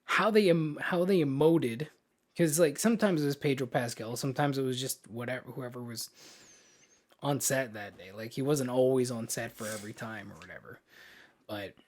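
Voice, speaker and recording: A man's voice: mid-range voice, moderate pace. A man co-hosting a podcast, chatting casually about games and films in an informal, slangy way with some mild swearing. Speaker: podcast co-host.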